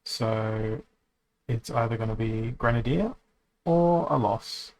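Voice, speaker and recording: A man streaming a card game, thinking aloud as he plays, often correcting himself and pausing to hesitate. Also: mid-range voice, darkish tone, slight background noise, poor audio. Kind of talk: casual commentary while playing a card game.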